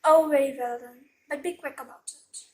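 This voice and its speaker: A woman plays a matronly school nurse in an audio play. She is high-pitched, stern and brisk, giving firm orders that allow little argument. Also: fairly even intonation, lightly acted. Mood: relenting. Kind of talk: fan audio drama acting.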